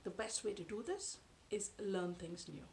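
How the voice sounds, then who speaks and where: A woman in her thirties whose first language is Tamil, talking to camera from a sofa in her living room in a measured, thoughtful way with frequent pauses. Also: medium pitch, gravelly, darkish tone, a little hiss or hum. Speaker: vlogger. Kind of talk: explanatory talk to camera. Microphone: camera in front at close range.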